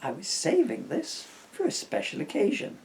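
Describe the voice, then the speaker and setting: A man's voice: high-pitched, wildly swooping pitch, moderate pace, creaky, darkish tone, slight background noise, pitched above the performer's own voice, full character voice. A man voicing a friendly, grateful pirate in a children's story poem. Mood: delighted.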